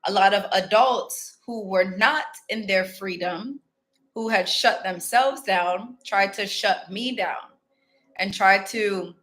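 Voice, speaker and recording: A woman's voice: mid-range voice, fairly bright tone. A woman from Ghana hosting a casual motivational self-help talk, candid and reflective, chatting directly to her viewers. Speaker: spiritual self-help host.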